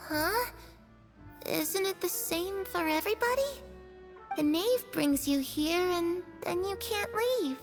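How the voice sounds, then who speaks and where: A woman voicing a timid, eerie little ghost girl in a game cutscene, soft and slipping into whispers. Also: extremely high pitch, lively intonation, slow pace, music in the background, exaggerated voice. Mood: confiding.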